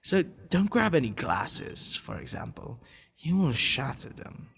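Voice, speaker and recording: A man playing a doting, possessive vampire lover in an ASMR roleplay, talking tenderly and teasingly in a husky, gravelly voice. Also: lowish voice, heavily acted. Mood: teasing, devoted. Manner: tender, soothing, teasing, intimate. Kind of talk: ASMR boyfriend roleplay acting.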